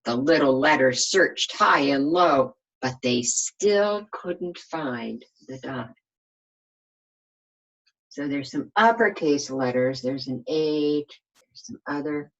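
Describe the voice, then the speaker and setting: A woman teacher reading a storybook to young children, pausing for simple explanations and coaxing questions. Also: sits low, muffled recording. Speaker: kindergarten teacher.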